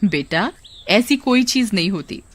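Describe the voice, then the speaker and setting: A woman's voice: medium pitch, expressive, a little slow. Doting storybook mother, played by a woman. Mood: instructing. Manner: doting, gently instructive. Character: loving, reassuring mother of a young boy.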